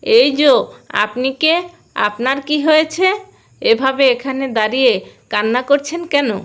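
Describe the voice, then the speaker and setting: A woman's voice: high-pitched, measured pace, a bit bright. A woman voicing a brave, sensible young female animal in a cartoon, firm and reassuring. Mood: puzzled. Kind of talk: cartoon dialogue.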